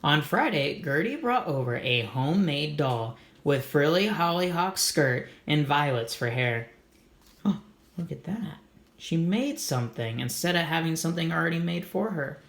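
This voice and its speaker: A man's voice: on the high side, expressive, normal speed, vocal fry, a bit bright, roomy sound. A man reading a children's storybook aloud, adding simple, encouraging comments for young listeners. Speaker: read-aloud host for children.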